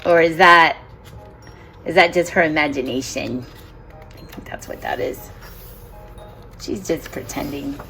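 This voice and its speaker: Woman teacher doing a storybook read-aloud for kids, slow and low-ish, lots of questions to the kids. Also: gravelly, pushed and bright, soft background music. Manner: chatty, asks the children questions and laughs along. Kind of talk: children's picture-book read-aloud.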